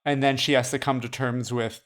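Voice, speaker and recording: A man with a smooth, resonant voice talks loosely about films on a podcast. His pitch sits in an ordinary middle range. His delivery is easygoing and full of "like" and "you know". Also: level tone, brisk, fairly bright tone. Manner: conversational, frequent "like" and "you know". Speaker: podcast co-host. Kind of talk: casual film discussion.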